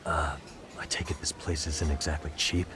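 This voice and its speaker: An actor playing a calm, polite but direct male detective in a video game drama, asking probing questions. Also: very deep voice, normal speed, background noise. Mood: easygoing. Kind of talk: video game cutscene acting. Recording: background music under the dialogue.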